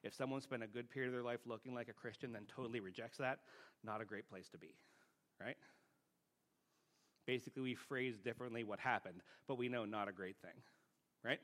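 Guy preaching, medium pitch, casual teaching style, plain talk, keeps tossing questions to the listeners. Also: a little fast, pauses often, fairly bright tone. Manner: plain-spoken, often checks in with rhetorical questions. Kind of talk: conversational teaching sermon.

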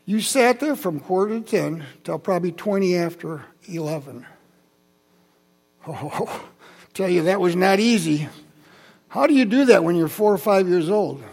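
A man's voice: high-pitched, animated, unhurried, darkish tone. A man in his seventies, from Holland, Michigan, telling Bible and childhood stories to a congregation in a chatty, conversational way with asides to the listeners. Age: 70s. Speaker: church speaker. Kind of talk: personal sermon storytelling.

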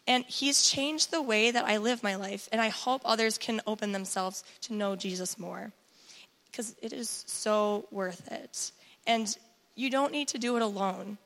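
Hoarse woman, earnest baptism testimony, long church-hall reverb. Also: medium pitch, a little slow, fairly bright tone. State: hoarse, recovering from voice loss. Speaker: baptism candidate giving testimony. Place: church sanctuary.

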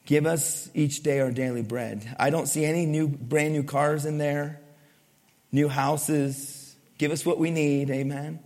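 A male pastor preaching a sermon to his congregation, asking it questions and swinging between loud emphasis and soft, low lines. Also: fairly even intonation, unhurried. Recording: long hall reverb.

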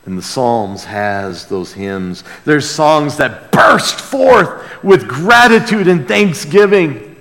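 An American man preaching a conversational sermon as a pastor, earnest and emphatic, with hushed asides and rhetorical questions. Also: a little high, expressive, takes it slowly. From United States. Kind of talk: sermon, conversational Bible teaching. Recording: long hall reverb.

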